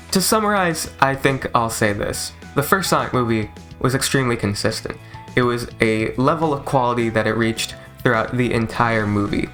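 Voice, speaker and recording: A man's voice: medium pitch, fairly bright tone. A man giving a casual, rambling movie review in an informal, enthusiastic, sweary way, like a YouTuber. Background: video game soundtrack music.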